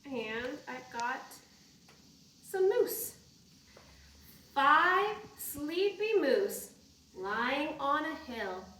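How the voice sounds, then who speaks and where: A woman's voice: sits high, big pitch swings, very slow, long pauses, pushed and bright. A woman leading a storytime for young children, reading aloud and talking in a sing-song way with simple prompts. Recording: echoey room, distant microphone.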